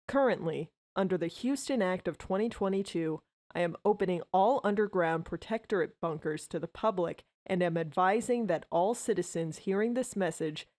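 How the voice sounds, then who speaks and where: A woman's voice: lowish voice, a little mellow, close to an everyday voice. A woman playing a grave, formal city mayor giving an emergency address in an audio drama. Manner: formal, solemn.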